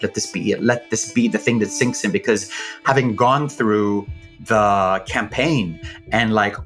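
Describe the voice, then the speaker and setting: A man speaking reflectively in an interview as a theater director. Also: mid-range voice.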